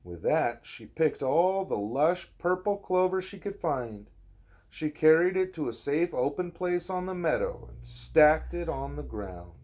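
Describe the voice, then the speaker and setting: A man narrates a children's storybook in an animated, fatherly read-aloud style. His pitch moves up and down a lot while the pace stays slow, with dramatic pauses for the listener.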